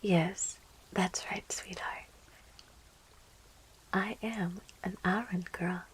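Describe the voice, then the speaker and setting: A woman voicing a sweet, clingy yandere plant girl in a soft, coaxing whisper for an ASMR roleplay. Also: mid-range voice, fairly even intonation, slight background noise, low-quality recording. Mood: excited, sweet. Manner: coaxing, cooing, giggly, slow.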